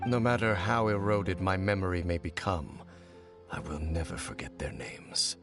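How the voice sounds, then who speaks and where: An actor playing a grave, brooding ancient warrior in a video game, speaking in a low, measured, solemn way. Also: male voice. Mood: bitter grave. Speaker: game character. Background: faint game music.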